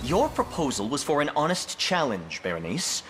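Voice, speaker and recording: A man's voice: on the high side, sing-song, moderate pace, music in the background. A man playing an earnest, loyal knight in a fantasy game, speaking in a formal, stern, courtly manner. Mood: indignant, stern. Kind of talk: video game fantasy acting.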